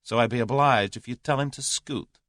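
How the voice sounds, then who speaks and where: A man's voice: low-pitched, very animated, normal speed, a bit bright, no echo, exaggerated voice. A man voicing a brash, confident American millionaire in an audiobook story, with an American accent and a dry, abrupt drawl.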